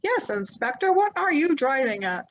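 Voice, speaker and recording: A woman playing a flustered high-voiced widow. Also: sing-song, normal speed, muffled recording. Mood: defensive. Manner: breathless, stammering, hesitant. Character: flustered middle-aged widow, woman, quick to defend herself. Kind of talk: 1940s radio acting.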